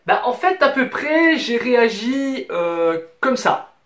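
A man's voice: very high voice, sing-song, slow, a bit bright. An eighteen-year-old man with a Belgian French accent from Hainaut, chatting casually and emphatically to camera. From southern Belgium. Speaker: YouTuber answering viewer questions. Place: small bedroom, indoors. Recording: roomy bedroom sound, music underneath. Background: music.

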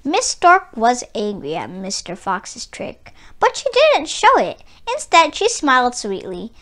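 A woman reads a children's story aloud in a high voice. Her delivery is slow and expressive, with a lively rise and fall suited to young listeners. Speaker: children's book read-aloud narrator.

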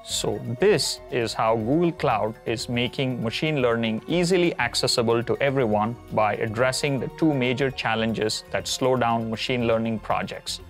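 A man in his forties whose first language is Konkani, presenting a cloud product demo in a steady, explanatory way with occasional fillers. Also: medium pitch, moderate pace, hardly any pauses, faint music behind. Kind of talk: scripted tech demo narration. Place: studio set with map backdrop.

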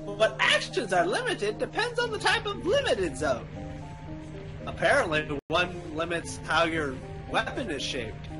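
A man's voice: high-pitched, big pitch swings, unhurried, over music, pitched below the performer's own voice. A man reading game dialogue aloud as an old male guide who lectures on dungeon rules, theatrical and hammy. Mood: lecturing. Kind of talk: voicing game dialogue over a playthrough.

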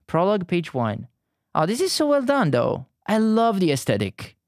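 Southern Italian male streamer, thirties, halting friendly chat, dry close sound. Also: sits high, wildly swooping pitch, a little mellow, no room sound. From Italy. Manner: halting, frequent restarts and fillers, friendly. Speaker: art streamer. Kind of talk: casual live-stream chat while painting.

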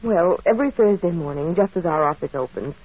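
An earnest young secretary, polite and slightly husky. She is heard through thin, muffled vintage radio sound. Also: fairly low pitch, lively intonation, a little fast. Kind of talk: 1940s radio drama acting. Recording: muffled old radio broadcast.

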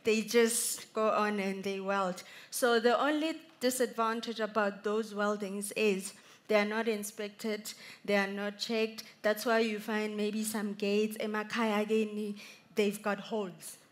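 A young woman with a South African accent, a welding inspector giving an animated talk to students from a stage with a headset mic. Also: mid-range voice, a little slow. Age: young adult. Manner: animated, gestures while speaking, laughs easily. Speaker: welding inspector guest speaker. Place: large exhibition stage, indoors.

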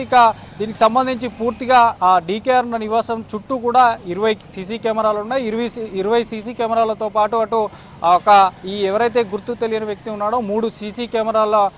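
A man giving an unscripted live field report, halting and repeating himself, with English words mixed in. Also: high-pitched. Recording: faint outdoor street and traffic noise.

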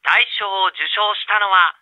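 A man's voice: high-pitched, steady tone, measured pace, no room sound. A man playing a radio contest host announcing entries in a radio drama. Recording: dull radio sound.

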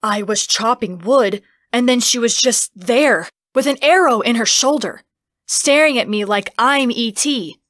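A woman's voice: fairly high pitch, a little slow, fairly bright tone, no room sound. Audiobook father female narrator: sentimental, casual, reminiscing, close dry recording. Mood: wistful. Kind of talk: audiobook character acting.

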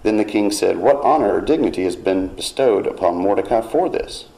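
A man with a very low voice preaches in church. His tone is gravelly, and he speaks in a measured, steady way. When he reads scripture, his emphasis rises. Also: rough recording. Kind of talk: sermon retelling scripture.

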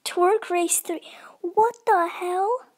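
A youthful gamer with a voice-changer effect, giving casual, dramatic and playfully self-deprecating gameplay commentary. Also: very slow. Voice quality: high-pitched, youthful voice, altered with voice effects. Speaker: gaming video creator.

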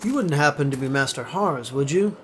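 A man's voice: medium pitch, lively intonation, brisk, a bit bright, a little hiss or hum, natural voice. A man playing an earnest, wary and scrappy young ranger in a fantasy audio drama. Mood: wary, polite. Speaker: amateur voice actor. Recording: music behind the voice.

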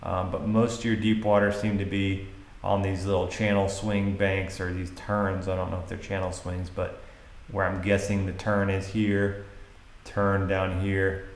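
A roomy, echoey voice-over from an American man with a fairly low voice. He explains things casually and off the cuff as a fishing guide. His delivery is hesitant, as if working it out while he talks. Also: level tone, a little slow, slight background noise. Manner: hesitant, casual, thinking aloud. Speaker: fishing guide video host.

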